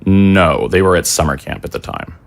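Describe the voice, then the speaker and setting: An actor playing a measured, slightly weary middle-aged father in an audio drama. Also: deep voice, expressive, creaky. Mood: reserved, reflective.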